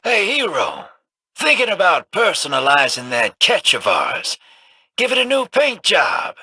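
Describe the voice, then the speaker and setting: A brash, husky pirate. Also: male voice, sits high, huge pitch swings, unhurried, bright, forceful tone, no echo, exaggerated voice. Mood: swaggering. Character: brash swaggering pirate man.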